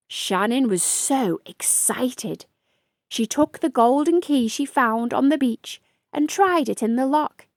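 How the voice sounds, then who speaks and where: A woman reading a children's picture book aloud in a measured, deliberate, expressive storytelling style. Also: on the high side, dry recording, studio quality. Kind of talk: scripted read-aloud storytelling for young children.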